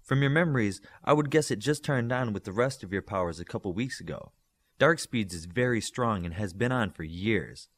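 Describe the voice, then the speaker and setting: A man's voice: lowish voice, animated, normal speed, fairly bright tone. A man voicing a teasing, knowing young telepath girl in an audiobook story. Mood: matter-of-fact. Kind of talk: audiobook character dialogue.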